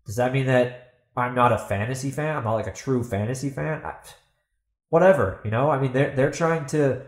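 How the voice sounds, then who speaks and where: A man's voice: medium pitch, moderate pace. A man giving an opinionated solo podcast monologue as host, speaking bluntly and emphatically, with some swearing. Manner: emphatic, blunt, swears, asks and answers own questions. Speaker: podcast host and fantasy author. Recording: roomy, echoey voice recording.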